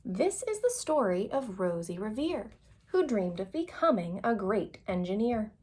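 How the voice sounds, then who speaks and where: A woman's voice: medium pitch, big pitch swings, measured pace, a little mellow. A woman reading a children's picture book aloud as a storyteller, expressively and with dramatic emphasis.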